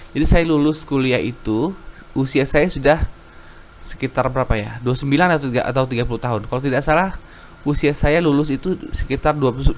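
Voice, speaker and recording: A man in his thirties tells his story earnestly, rambling and correcting himself as he goes. His voice sits at an ordinary middle pitch. A faint hiss runs under the recording. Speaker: YouTube religious storyteller. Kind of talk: reading a viewer's letter, then personal testimony.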